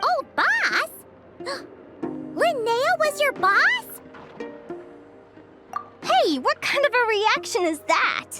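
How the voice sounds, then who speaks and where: A woman voicing a tiny, excitable, childlike fairy companion in a video game, with a squeaky, exclaiming delivery. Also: very slow, long pauses, full character voice. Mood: astonished. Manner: squeaky, exclaiming, refers to herself in third person. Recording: background music under voice.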